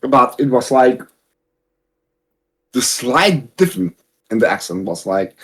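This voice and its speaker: A man's voice: medium pitch, measured pace, husky. A man speaking English with a non-native accent, telling a story in a casual podcast chat and acting out the quotes as he goes. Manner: animated storytelling, acts out quotes. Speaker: podcast participant.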